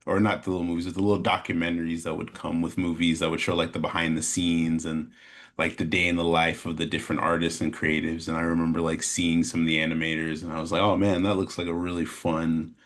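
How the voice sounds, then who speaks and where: A man with an American accent chatting casually as an interview guest, in a rambling, halting way. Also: low voice. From Chicago, American Midwest. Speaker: interview guest, animation studio founder. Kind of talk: casual chat, telling his story.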